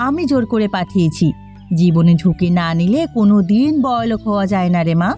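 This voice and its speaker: Cartoon village mother, acted by a woman: firm, reassuring, brusque, expressive pitch, background music. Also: brisk, lightly acted. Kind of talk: Bengali moral-tale cartoon dubbing.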